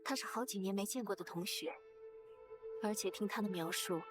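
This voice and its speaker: A woman's voice: mid-range voice, brisk, close to an everyday voice. A woman voice-acting a guilty, resigned young woman confiding in a visual novel game. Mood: regretful, resigned. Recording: game audio with music behind.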